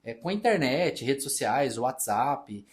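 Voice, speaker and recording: A man's voice: mid-range voice, big pitch swings. A man from Brazil telling stories in a casual podcast chat, acting out the dialogue as he goes. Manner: fast-talking, storytelling, reenacts dialogue. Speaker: accountant and business partner.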